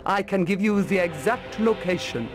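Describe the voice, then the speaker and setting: An actor playing a grave, regal ruler of a northern empire in a fantasy game, telling things in a solemn, measured way. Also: male voice, high-pitched, close to an everyday voice. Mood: grave, urgent. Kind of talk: fantasy video game cutscene acting. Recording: loud music under the voice.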